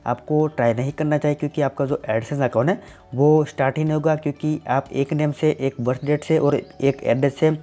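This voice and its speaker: A man, answers viewer questions on his tech channel in a plain, explanatory way, leaning on "definitely" and "simply". His voice is warm and mellow. Faint music plays underneath. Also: on the high side, fairly even intonation, normal speed. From Gujarat, India. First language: Gujarati.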